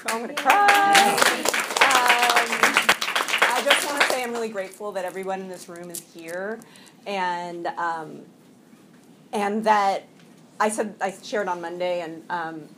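A woman's voice: mid-range voice, measured pace, crowd noise, noisy background. A woman around forty, slightly sniffly from a cold, giving a personal share at a recovery meeting in a room full of people. She stumbles through restarts and fillers and laughs often.

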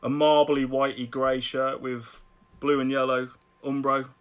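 A middle-aged man with a Birmingham accent, chatting casually as a podcast guest, laughing often and repeating words when excited. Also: mid-range voice, unhurried. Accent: Birmingham English. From Birmingham, England. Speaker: guest artist.

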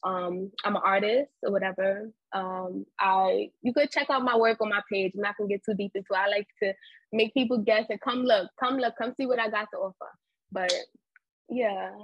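A woman's voice: medium pitch, steady tone, normal speed, no echo, muffled recording. A woman, a guest on a podcast, chatting in a laid-back, rambling way full of fillers. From Harlem, New York. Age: 20s. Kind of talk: casual interview chat.

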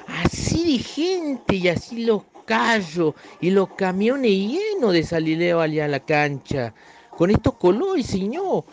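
Man doing a bitter old fan with a northern Argentine accent. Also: high-pitched. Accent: northern Argentine (put on). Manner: addresses the listener as "señor", bitter and mournful. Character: aging, bitter, nostalgic male football fan. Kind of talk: reading a short story aloud.

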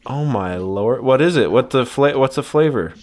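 A man's voice: mid-range voice, lively intonation, moderate pace. A man hosting a comedy podcast in casual, bantering talk. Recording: faint background noise, clicks.

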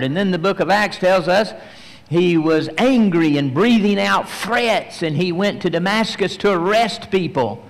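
Slow, emphatic preacher in a reverberant hall. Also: male voice, high voice. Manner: slow, emphatic teaching with frequent pauses and fillers. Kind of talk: verse-by-verse sermon.

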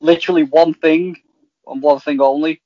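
A man in casual, halting podcast chat about TV, rambling as a co-host. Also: fairly high pitch, fairly even intonation, warm tone, no echo. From Liverpool, England. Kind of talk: casual chat reviewing TV shows. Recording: slightly dull, lo-fi audio.

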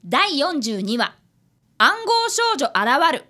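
A woman's voice: high-pitched, big pitch swings, slow pace, pushed and bright, faint music behind, exaggerated voice. A woman playing an earnest, polite fifth-grade boy detective apprentice in a radio drama, who also narrates. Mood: earnest narrating.

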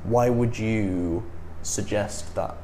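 A man interviewing a guest in a casual chat, asking questions and encouraging her answers warmly. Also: fairly low pitch, slow, slight background noise, low-quality recording. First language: English. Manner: encouraging, supportive, prompts the guest.

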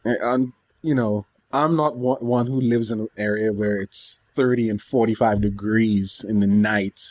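A man co-hosting a podcast, chatting casually in a rambling, halting way. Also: on the low side, fairly even intonation, a little mellow, no echo. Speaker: podcast co-host. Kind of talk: casual chat about anime and games.

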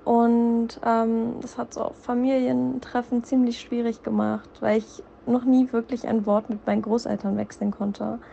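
A woman's voice: a little high, little pitch movement, a little slow, creaky, hardly any pauses, mellow, muffled recording, a little hiss or hum. A woman from Berlin, speaking reflectively about her family background and belonging, with frequent hesitations. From Berlin, Germany. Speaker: interviewee. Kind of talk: personal testimony.

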